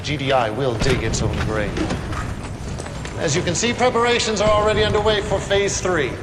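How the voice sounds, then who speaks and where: A man's voice: high voice, normal speed, very noisy, natural voice. Echoing, messianic male cult leader played by an actor. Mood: grandiose. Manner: pompous, preacher-like proclamations. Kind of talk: theatrical game cutscene acting.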